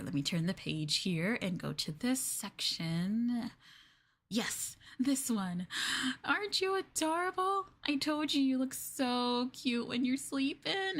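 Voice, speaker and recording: A woman playing a clingy, lovestruck yandere girlfriend in an ASMR roleplay, soft, coy and doting, with a hesitant, flustered way of talking. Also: a little high, creaky, heavily acted. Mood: adoring, possessive.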